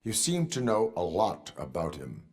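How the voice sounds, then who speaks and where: An actor playing a grim, dutiful cyborg police officer in a video game, speaking in a stiff, formal deadpan. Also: male voice, low-pitched, normal speed, heavily acted. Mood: stern, commanding.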